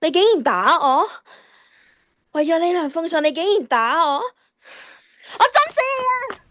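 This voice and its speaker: A woman's voice: high-pitched, big pitch swings, moderate pace, pushed and bright, heavily acted. A woman playing a cheeky, defiant teenage schoolgirl in an audio drama, with a whiny, dramatic delivery. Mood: hurt, defiant. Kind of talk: Cantonese radio drama acting.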